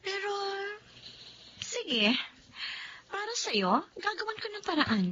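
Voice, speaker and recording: A woman's voice: very high-pitched, sing-song, slow, bright, forceful tone, exaggerated voice. A woman playing a giggly smitten young coordinator. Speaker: Sabrina, event coordinator. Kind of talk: Tagalog radio drama acting. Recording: dull lo-fi audio with faint hiss.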